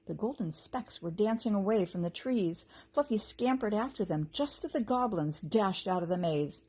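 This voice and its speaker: A woman teacher reading a children's story aloud with expressive narration and a slightly husky voice. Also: mid-range voice, moderate pace.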